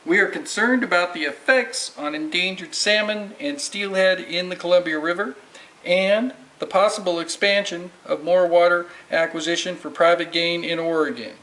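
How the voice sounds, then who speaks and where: A man's voice: high voice, moderate pace, sharp tone, rough recording. A man playing a skeptical, indignant environmental campaigner in a scripted amateur puppet show.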